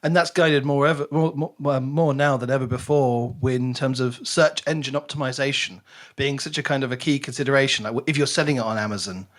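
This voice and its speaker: A man's voice: medium pitch, fairly even intonation, a little fast. A man hosting a podcast interview in a conversational style, with chatty, wordy and rambling questions. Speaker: podcast host.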